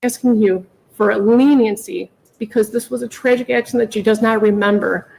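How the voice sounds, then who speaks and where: A woman's voice: on the high side, fairly even intonation, fairly quick, gravelly, a little mellow, poor audio. A middle-aged woman making an emotional, unscripted plea to a judge, emphatic and often repeating herself. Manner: pleading, repetitive, emphatic. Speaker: mother pleading in court for her daughter.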